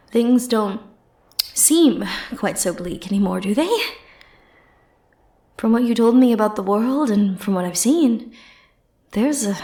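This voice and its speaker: A woman playing a powerful, flirty and possessive sorceress in an ASMR roleplay, speaking softly and intimately with theatrical, teasing, condescending turns. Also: medium pitch. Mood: persuasive, wistful. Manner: soft, intimate, teasing, theatrical delivery with breathy murmured asides.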